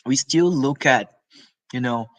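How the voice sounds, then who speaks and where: A man from Brazil, presenting a spiritual talk in a halting, informal way with frequent restarts and tag questions, coughing now and then. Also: mid-range voice, a bit bright.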